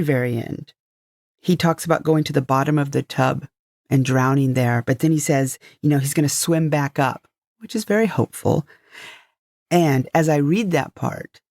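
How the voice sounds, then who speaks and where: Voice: mid-range voice, darkish tone, dry recording, studio quality. A podcast co-host chatting casually and giving conversational commentary on a story.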